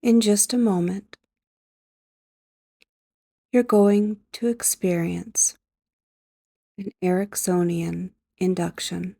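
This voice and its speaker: Female sleep hypnosis guide: mellow, creaky low-energy delivery, soft and breathy, gentle, measured, with long pauses. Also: steady tone, no echo, studio quality. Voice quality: soft, breathy, often near a whisper.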